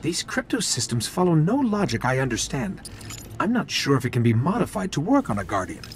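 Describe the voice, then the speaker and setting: A man's voice: a little high, wildly swooping pitch, brisk, a little hiss or hum. A man voicing a small robot companion in a video game, earnest, anxious and careful. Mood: puzzled.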